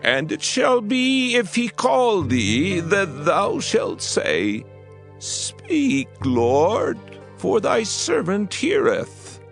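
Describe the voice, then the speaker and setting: Creaky old priest in a bible drama, weary and fatherly, high wavering pitch that swings around. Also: huge pitch swings, drawn-out words, faint music behind, exaggerated voice. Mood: gentle, weary.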